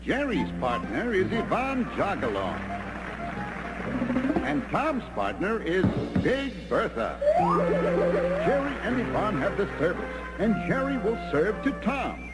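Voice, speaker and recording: A man voicing an excitable cartoon tennis commentator, calling the play in a theatrical, exclamatory manner. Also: sits high, slow pace, exaggerated voice. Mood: announcing. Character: excitable male cartoon tennis match announcer. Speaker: sports commentator. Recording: music under the voice. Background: loud background music.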